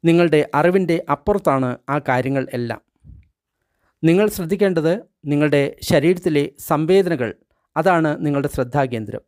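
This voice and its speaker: Male meditation guide, somewhat high-pitched, unhurried and deliberate phrasing, fairly dry close sound. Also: animated, darkish tone, no echo. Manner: slow, deliberate instruction in short phrases with pauses. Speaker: meditation guide reading a translated book.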